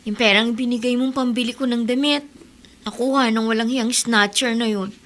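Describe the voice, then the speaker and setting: A woman's voice: on the high side, fairly even intonation, moderate pace, fairly bright tone, close to an everyday voice. An actress playing a younger sister eagerly recounting what happened to her brother. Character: younger sister, chatty and shaken.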